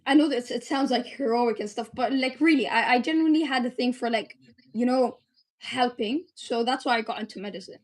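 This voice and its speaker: A fairly high-voiced woman in her twenties, from the UAE, speaks as a podcast guest. She tells her story earnestly and chattily, using lots of fillers along the way. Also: a little fast. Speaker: guest, medical student and startup founder. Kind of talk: interview, telling her story.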